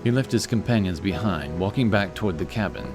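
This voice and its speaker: A man with a very low voice narrates a fantasy tale in scripted audiobook style. Clear music plays behind him throughout. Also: expressive, a little fast.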